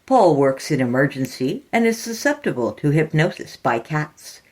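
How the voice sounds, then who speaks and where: A woman presents a podcast in a low voice. Her intonation moves widely up and down, giving the scripted announcement a theatrical, deliberate feel. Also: big pitch swings, darkish tone. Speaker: podcast host.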